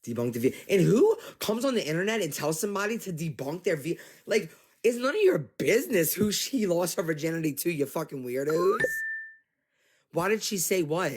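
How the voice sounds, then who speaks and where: A man giving casual livestream commentary, exasperated and animated, talking straight to his viewers. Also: on the high side, moderate pace, slightly rough. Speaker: commentary streamer.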